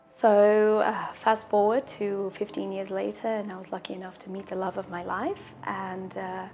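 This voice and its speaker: A woman in her thirties, a native Portuguese speaker, telling her personal story in a calm, measured way, with a husky voice and deep breaths between sentences. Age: 30s. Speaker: interviewee sharing a personal story. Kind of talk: personal testimonial.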